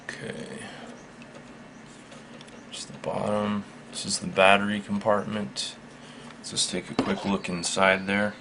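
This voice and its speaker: A man giving a casual, unscripted product demonstration in a matter-of-fact, halting, measured way. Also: fairly low pitch, level tone. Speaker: product reviewer. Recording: faint background noise.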